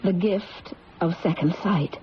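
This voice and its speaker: A troubled young woman in a vintage radio drama, earnest and intense. Her voice sits fairly low and is delivered dramatically, over a dull, noisy old broadcast recording. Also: slow. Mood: haunted. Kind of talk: 1970s radio drama acting.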